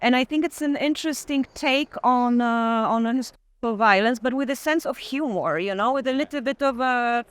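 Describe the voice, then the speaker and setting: A woman's voice: high-pitched, a little fast, few pauses. A woman chatting casually while leading a walking tour, a little tentative and repeating phrases. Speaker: tour co-leader in conversation. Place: art fair hall, walking tour.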